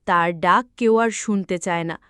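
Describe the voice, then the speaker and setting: A woman's voice: on the low side, measured pace, a bit bright, clear, resonant tone, no echo, clean studio sound. A woman narrating a scripted children's story, expressively, as if speaking to young listeners.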